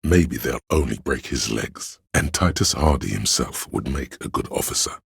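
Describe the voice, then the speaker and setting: A man voicing an omniscient inner voice of police camaraderie in a detective video game, narrating gravely and theatrically in a husky, gravelly voice. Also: expressive, moderate pace, no room sound, clean studio sound, heavily acted. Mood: wry, knowing. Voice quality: very deep gravelly husky rasp. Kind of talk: dramatic literary game narration.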